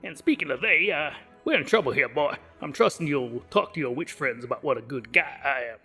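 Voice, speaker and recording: A man's voice: medium pitch, animated, brisk, pushed and bright, faint music behind. A man voicing a crabby old wizard who rants in a folksy, hectoring way, in a storybook reading. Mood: ranting, crabby.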